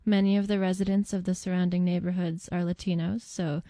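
A woman narrates in a calm, even scripted read. Her voice sits low and mellow. The recording is close and dry, with no room sound. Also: a little fast, no room sound.

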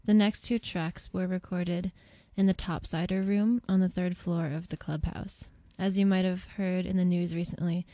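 A woman narrates in a calm, even scripted read. Her voice sits low and mellow. The recording is close and dry, with no room sound. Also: no echo.

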